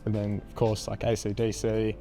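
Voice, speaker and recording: A young Australian man with a raspy voice, a band frontman answering interview questions in a laid-back, hesitant way with lots of ums. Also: fairly low pitch, completely flat delivery, normal speed, dark tone, faint music behind.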